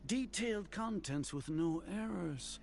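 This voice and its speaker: A man's voice: sits high, expressive, creaky, full character voice. A male actor playing a haughty, fanatical grand sage in a video game, speaking in pompous, formal phrases over music. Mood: cold, condescending. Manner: pompous, formal, deliberate diction. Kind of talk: dramatic video game voice acting.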